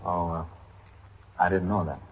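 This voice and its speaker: Low voiced american cop in an old radio play, polite, patient, keeps it low-key. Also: male voice, steady tone, measured pace, slightly rough, exaggerated voice. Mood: sympathetic. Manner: restrained, polite questioning. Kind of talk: old-time American radio drama acting.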